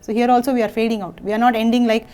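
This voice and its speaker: A young woman, teaching a singing lesson, gently and patiently explaining phrases between short sung examples. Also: a little high, little pitch movement, rapid-fire, smooth.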